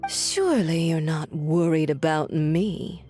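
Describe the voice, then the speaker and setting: A woman playing a cold, imperious villainess in a video game, speaking in a measured, cutting, condescending way. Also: deep voice, warm tone. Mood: cold taunting. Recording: music behind the voice.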